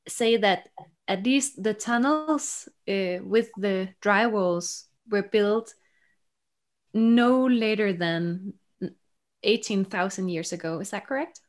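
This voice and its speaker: A woman in her thirties interviewing casually as a webinar host, with polite, tentative phrasing. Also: medium pitch. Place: small office or room indoors. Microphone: video call.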